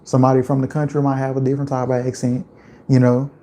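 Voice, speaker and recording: A man from Louisiana with a Louisiana accent, chatting casually on a livestream and reading out viewer comments in a relaxed, rambling way. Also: medium pitch, quick pace. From Louisiana, USA. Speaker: livestream host.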